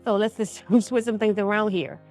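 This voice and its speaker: A woman hosts a talk show in a folksy, conversational style. Her voice sits at an average pitch for a woman, with faint music underneath. She affirms what is said and speaks straight to her listeners. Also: fairly even intonation, moderate pace, darkish tone. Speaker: radio show host. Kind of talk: conversational interview.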